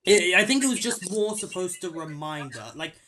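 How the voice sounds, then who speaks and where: A young man hosts a casual podcast about films, his voice sitting a little high for a man. He talks in stop-start bursts, restarting his sentences and swinging between loud and soft. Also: normal speed, crowd noise. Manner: hesitant, many restarts and fillers, animated swings in loudness. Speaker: podcast host. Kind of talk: casual film discussion.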